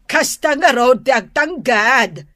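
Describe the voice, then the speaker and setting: An Ilocano grandmother in a comic radio drama, doting, bossy and deeply sentimental. Her high voice swings widely and takes its time, stretching exclamations into melodramatic wails. The sound is close and dry. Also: expressive, fairly bright tone, no echo, exaggerated voice. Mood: bossy, fussing. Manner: melodramatic, wailing exclamations and drawn-out cries. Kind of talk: comedic radio drama acting.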